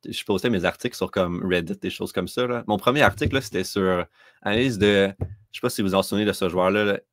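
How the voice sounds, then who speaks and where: Deep-voiced Québécois man, laid-back guest. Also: fast, no room sound, clean studio sound. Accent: Québécois French. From Quebec, Canada. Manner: relaxed, fills with "là" and "tu sais". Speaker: podcast guest, hockey scout. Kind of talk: casual interview talk.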